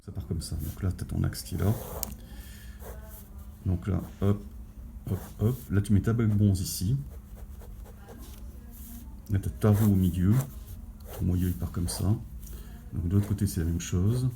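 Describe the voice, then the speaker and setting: A man's voice: deep voice, fairly even intonation, a little slow, gravelly, long pauses, dark tone, slight background noise. A man explaining a mechanical fix step by step, practical and hesitant, in a clip with faint background ambience. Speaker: guest giving technical advice.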